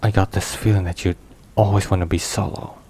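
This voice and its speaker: A man playing a brooding but tender mafia boss boyfriend in an intimate ASMR roleplay, murmuring haltingly with hushed pauses. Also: sits low, fairly even intonation, creaky, a little hiss or hum. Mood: touched, awkward. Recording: rain and thunder behind voice. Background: rain and thunderstorm ambience.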